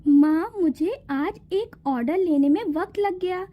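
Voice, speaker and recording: A woman's voice: extremely high pitch, unhurried, dull audio. A woman voicing a kind, dutiful young fairy in a cartoon, polite and reassuring. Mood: hopeful, reassuring.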